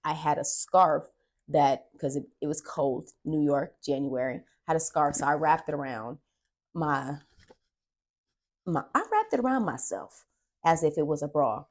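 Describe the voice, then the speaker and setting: A young woman chatting casually as a vlog host, telling a story in an animated, dramatic way with emphasized words. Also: sits low, a little slow. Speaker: podcast host and aspiring actress.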